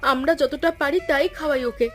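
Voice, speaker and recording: A woman's voice: sits high, normal speed, close to an everyday voice. An actress playing a patient village wife and mother in a cartoon folk tale, speaking in a consoling, reassuring manner. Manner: soothing, reassuring.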